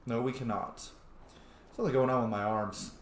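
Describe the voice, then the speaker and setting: A man's voice: mid-range voice, fairly even intonation, moderate pace, a little hiss or hum. A man giving casual roleplay commentary over a video game, chatty, rambling and informal. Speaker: gaming host. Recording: roomy, echoing voice recording.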